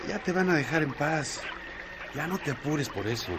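A man's voice: fairly high pitch, expressive, moderate pace, lightly acted. An actor playing a protective, firm village man with a Mexican accent in a radio drama. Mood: reassuring. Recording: loud background noise, watery ambience.

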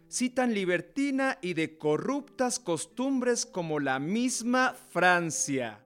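An actor in a radio drama playing an earnest, idealistic young law apprentice with a theatrical, deliberate delivery. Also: male voice, fairly high pitch. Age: young adult. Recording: guitar music behind the voice. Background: guitar music.

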